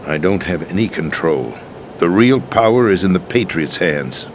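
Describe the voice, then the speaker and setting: An actor playing a disillusioned, world-weary middle-aged American president in a dramatic video game scene, with an emphatic theatrical delivery. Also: male voice, low-pitched, lively intonation, moderate pace, slight background noise. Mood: bitter, intense. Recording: game soundtrack music underneath.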